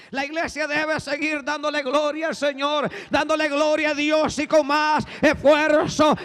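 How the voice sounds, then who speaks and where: A male pastor preaching a fervent sermon, swinging from hushed tones to shouting and repeating "brothers" as a refrain. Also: very high voice. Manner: repeats "hermanos" as a constant refrain. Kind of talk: Spanish-language sermon. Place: church sanctuary. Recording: long echo of a large hall.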